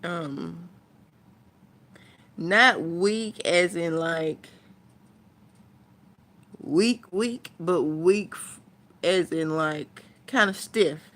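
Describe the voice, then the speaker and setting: Slow-talking woman vlogger. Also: fairly low pitch, a bit bright. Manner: unhurried, rambling, thinking aloud. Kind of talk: casual chat to viewers.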